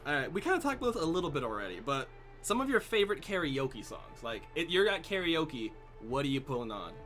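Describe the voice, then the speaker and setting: A young man talks casually while he plays a game, and he gets excited quickly and jumps from topic to topic. His voice is bright, with a lively pitch that swings up and down. A little music plays faintly behind him. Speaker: channel host.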